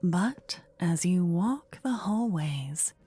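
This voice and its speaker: Soft whispery lady reading fantasy asmr story, really slow, mellow and low. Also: expressive, soft background music. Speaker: ASMR narrator. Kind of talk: ASMR scripted fantasy narration.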